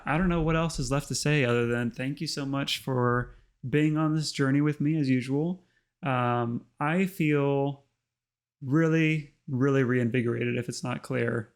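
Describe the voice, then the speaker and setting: A man hosting a casual tutorial, chatty and full of ums, his voice slightly hoarse. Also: medium pitch. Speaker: host. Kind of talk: casual screen-recorded tutorial narration.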